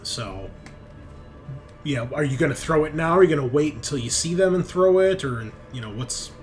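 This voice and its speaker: A man running a tabletop game, narrating off the cuff in a casual, halting conversational way. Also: fairly high pitch, expressive, faint music behind. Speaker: game master.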